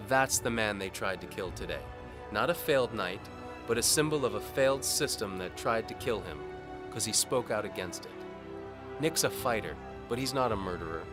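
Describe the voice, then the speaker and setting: A man's voice: lowish voice, animated, moderate pace, music in the background, voice pushed up, natural voice. A man voicing a fiery rebel woman giving rousing oratory in an audio drama. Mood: impassioned. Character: fiery rebel woman rallying townspeople to revolt. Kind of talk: audio drama character acting.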